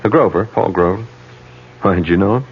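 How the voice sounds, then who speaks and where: An actor in an old radio drama playing a brisk, persuasive campaign manager who probes into the candidate's past. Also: male voice, deep voice, slight background noise, natural voice. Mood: offhand. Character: fast-talking male campaign manager, shrewd and prying. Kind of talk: 1970s radio drama acting. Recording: dull old radio broadcast.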